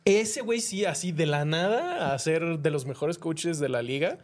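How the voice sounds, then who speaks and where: A man's voice: on the high side, expressive, moderate pace. A man with a Mexican accent co-hosting a podcast, chatting casually about sports in an informal, slangy way. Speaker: podcast co-host.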